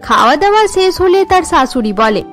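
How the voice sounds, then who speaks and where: A woman's voice: extremely high pitch, big pitch swings, fairly quick, fairly bright tone, faint music behind. A woman giving scripted narration for a cartoon family story.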